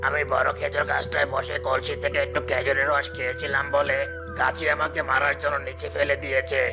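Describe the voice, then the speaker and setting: A man's voice: mid-range voice, level tone, brisk, vocal fry, lightly acted. A man voicing a vengeful, scheming snake who fawns on its master, in a cartoon with music behind. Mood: pained complaining. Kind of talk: dubbed cartoon dialogue. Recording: loud music bed under voice.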